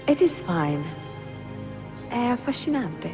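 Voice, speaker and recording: Italian bookseller, Italian accent, cultured, gently playful, video game drama, background music. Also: female voice, a little high, sing-song, takes it slowly. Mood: pleased, playful. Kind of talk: cinematic video game acting. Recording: music behind the dialogue.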